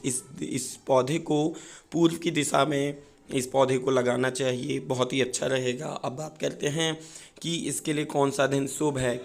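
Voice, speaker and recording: A man with a hoarse, sore-throated voice, explaining things informally and a little haltingly as a gardening video presenter. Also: medium pitch. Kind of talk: informal explanatory talk to viewers.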